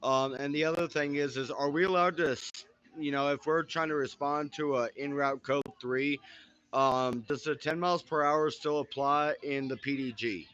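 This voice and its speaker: Polite, loud-voiced man asking questions. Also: fairly high pitch, fairly even intonation, normal speed, clear, resonant tone, lo-fi sound. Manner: polite, says sir often. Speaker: roleplay officer. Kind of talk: casual meeting question.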